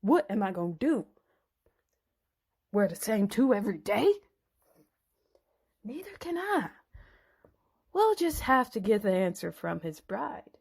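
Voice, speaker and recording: Woman voicing a scheming, sneering Philistine man, animated. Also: mid-range voice, measured pace, vocal fry, long pauses, breathy edge, exaggerated voice. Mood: worried. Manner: scornful, threatening. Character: scheming, sneering Philistine men, menacing wedding guests. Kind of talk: Bible story dramatization.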